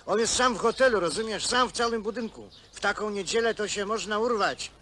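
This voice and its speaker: An actor playing a scheming, cajoling stout brother, colloquial and needling, in naturalistic film drama. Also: male voice, sits high, a little fast, sharp tone. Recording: faintly noisy old film soundtrack.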